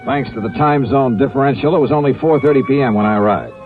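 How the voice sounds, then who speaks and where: An actor playing a brisk, wry male insurance investigator in a 1950s radio detective drama, with clipped delivery. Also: mid-range voice, lightly acted. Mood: dry, matter-of-fact. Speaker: detective narrator and lead. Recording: muffled old radio recording, loud music behind.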